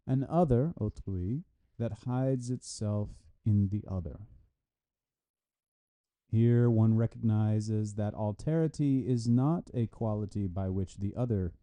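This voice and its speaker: A man reading a scholarly text aloud with deliberate, halting phrasing. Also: medium pitch, dark tone. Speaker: reader of a philosophy article. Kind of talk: read-aloud scholarly text with brief explanatory asides.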